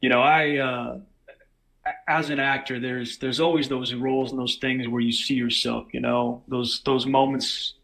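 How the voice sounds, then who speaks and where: A man's voice: medium pitch, level tone, a little slow, vocal fry, a bit bright, low-quality recording. A male actor giving casual, wry answers in an interview.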